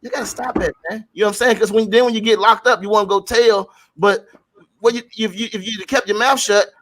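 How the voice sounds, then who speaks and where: A man's voice: sits high, fairly even intonation, fairly quick, a bit bright, a little hiss or hum. A man co-hosting a talk show, ranting casually in colloquial street talk, repeating himself and swearing mildly as he preaches. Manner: frequent mild swearing. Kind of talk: casual opinionated rant to co-hosts and audience.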